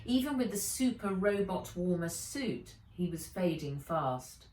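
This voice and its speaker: Slow-reading woman in an echoey room. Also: on the low side. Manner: measured, expressive reading. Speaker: author reading own book aloud. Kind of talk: storybook narration for children. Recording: echoey room, distant from mic.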